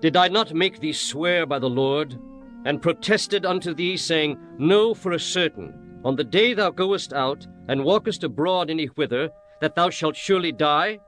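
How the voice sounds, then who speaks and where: A man's voice: a little high, expressive, moderate pace, a bit bright, faint music behind. An actor playing a stern, commanding young king in a dramatized scripture reading, with solemn, declamatory delivery and archaic formal phrasing. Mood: stern, accusing.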